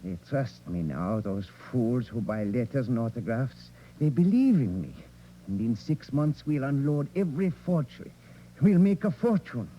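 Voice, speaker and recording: A man acting as a bitter criminal schemer in an old radio crime drama. His theatrical delivery swings widely in pitch and broods before it erupts. The recording is muffled, with faint hiss. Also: big pitch swings, moderate pace, close to an everyday voice. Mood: gloating. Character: bitter scheming forger and murderer, volatile, greedy. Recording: dull, noisy vintage radio recording.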